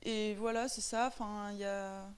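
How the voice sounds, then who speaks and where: A young French woman in a classroom debate, nervous and halting with many fillers. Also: mid-range voice, steady tone, smooth. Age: young adult. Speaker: student in a debate.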